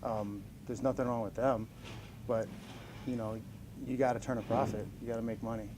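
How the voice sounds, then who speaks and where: A man in his forties fielding questions from reporters, loose and upbeat, joking about his own age between answers. His voice sits at an everyday middle pitch, with a faint hiss under it. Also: flat delivery, normal speed. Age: 40s. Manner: upbeat, chuckling, self-deprecating. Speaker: professional fighter being interviewed. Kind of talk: casual press scrum answers.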